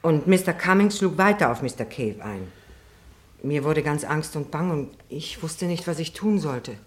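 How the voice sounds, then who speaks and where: Anxious female witness, very low voice, hesitant and deferential, radio courtroom drama. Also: lively intonation, normal speed. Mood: uneasy recounting. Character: timid, anxious woman witness testifying in court.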